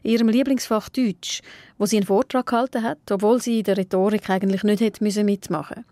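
A woman, a reporter giving calm, reflective narration and asking questions. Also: mid-range voice, fast, dry recording. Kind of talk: scripted narration and interviewing.

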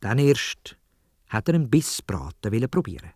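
A man with a Swiss German accent telling a children's story in a dramatic, expressive way, speaking directly to young listeners. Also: medium pitch, moderate pace, heavy background noise. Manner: dramatic, addresses young listeners with questions and exclamations.

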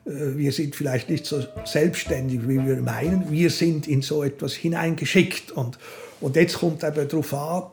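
Gravelly older Swiss German man, musing. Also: a little high, fairly even intonation, normal speed. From Switzerland. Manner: philosophical, musing. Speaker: interview guest. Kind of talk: reflective casual conversation.

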